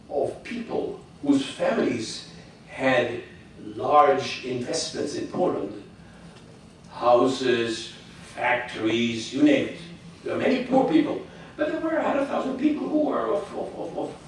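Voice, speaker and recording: An older man giving a talk to an audience, halting and opinionated, with many restarts. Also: mid-range voice, a little hiss or hum. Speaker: guest speaker. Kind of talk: public talk with audience questions. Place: hall with audience. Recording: echoey hall from far off.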